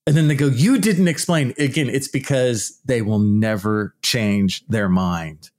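A man with a naturally loud, shouty voice, ranting casually and animatedly in a vlog about archaeology comments. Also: mid-range voice, unhurried. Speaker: archaeology YouTuber.

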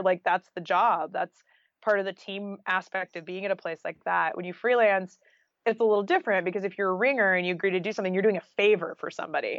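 A woman's voice: fairly low pitch, no room sound. A woman chats as an interview guest in a casual, anecdotal way, sprinkling in conversational fillers. The recording is dry and close, with a slightly dull, lo-fi sound. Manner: chatty, anecdotal, with frequent "like" and "you know". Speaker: podcast guest, freelance journalist and author.

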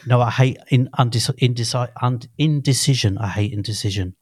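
A man's voice: mid-range voice, a little fast, a little mellow, no room sound, studio quality. A man in his fifties co-hosting a podcast, talking casually in a rambling, chatty way that often restarts mid-sentence. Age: 50s. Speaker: podcast co-host. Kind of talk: casual chat.

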